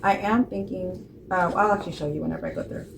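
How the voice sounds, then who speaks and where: A woman's voice: on the low side, steady tone, fast talker, gravelly, slight background noise. A woman in her late thirties chatting casually to camera about her budget, rambling with many fillers and restarts. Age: 30s. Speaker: budgeting vlogger.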